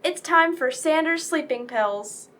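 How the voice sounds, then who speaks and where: A folksy Western saleswoman acted by a woman delivering a vintage radio advert. Her voice is high, cheerful and punchy. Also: animated, measured pace, fairly bright tone. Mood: chipper. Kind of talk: 1940s radio commercial acting.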